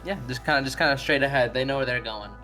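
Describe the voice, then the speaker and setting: A tabletop player narrating casually and off the cuff, informal and a little halting. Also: mid-range voice, bright, forceful tone, faint music behind. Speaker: tabletop role-play player.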